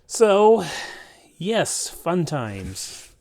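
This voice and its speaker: A man's voice: sits high, very animated, drawn-out words, a little mellow. A man hosting a repair video, talking casually to the viewer and thinking aloud as he works through a hands-on job. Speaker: host of an electronics repair video.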